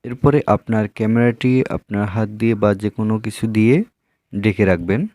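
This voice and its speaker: A man's voice: fairly low pitch, fairly even intonation, brisk, a little mellow, no echo. A man narrates a how-to video for viewers as its host. His delivery is instructional and scripted, built on repeated step-by-step phrasing. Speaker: tech tutorial video host.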